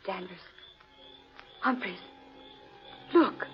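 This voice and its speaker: An actress playing a frightened, horrified woman lost in a haunted forest, breathy and melodramatic in 1940s radio horror style. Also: medium pitch, animated, extremely slow, soft background music. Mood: alarmed. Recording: muffled, hissy 1940s radio recording.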